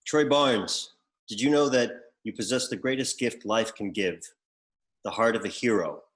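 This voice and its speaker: A man playing a pompous, deadpan executor who reads out bequests in a comedy script table read. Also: fairly low pitch, normal speed, lightly acted. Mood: formal, solemn. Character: pompous male executor reading out an eccentric bequest.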